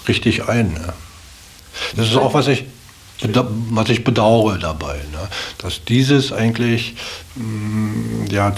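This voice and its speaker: Guy with a lowish voice chatting about his life, halting, bit of hiss behind him. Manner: halting, searching for words, tag questions. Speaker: interviewee in a radio feature. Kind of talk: casual personal reflection. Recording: hissy recording with steady background noise.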